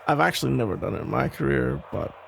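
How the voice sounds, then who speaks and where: Male actor for protective police officer ex, ASMR roleplay: very creaky, gravelly voice, tender, halting, soothing delivery over faint background noise. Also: medium pitch, big pitch swings, fairly bright tone, exaggerated voice. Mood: wry, protective. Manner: hesitant, reassuring, halting phrases with pauses. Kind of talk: intimate ASMR roleplay, soft conversational acting.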